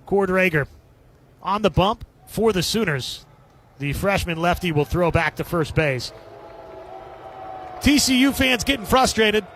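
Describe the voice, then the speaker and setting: A male baseball announcer with a notably high voice delivers live radio play-by-play. He describes the game in clipped, descriptive phrases, as a sports commentator does on air. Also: animated, unhurried, pauses often, fairly bright tone, slight background noise.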